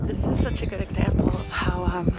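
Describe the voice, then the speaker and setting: A woman in her forties films herself with a handheld phone on an open mountain trail. She talks in a chatty, enthusiastic way to the camera as she hikes. Loud music plays over her voice. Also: fairly low pitch, fairly even intonation, brisk, low-quality recording. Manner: chatty and enthusiastic, talking to the camera while hiking. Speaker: vlogger. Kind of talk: casual hiking vlog. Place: windy mountain trail outdoors. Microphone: phone at arm's length.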